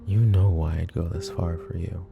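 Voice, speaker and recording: A man playing a possessive, protective mafia boss in an intimate ASMR roleplay, speaking in a hushed, deliberate, tender way that often dips toward a whisper. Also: low-pitched, fairly even intonation, creaky, phone-quality sound, over music. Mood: devoted, intense. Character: possessive, protective male mafia boss, smooth menacing devotion.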